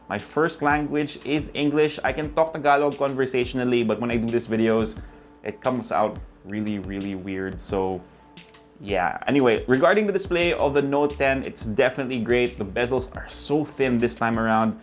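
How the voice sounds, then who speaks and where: A man reviewing phones in a relaxed, conversational way, talking straight to his viewers. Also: mid-range voice, normal speed, faint music behind. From Philippines. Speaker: tech reviewer. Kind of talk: casual scripted tech review.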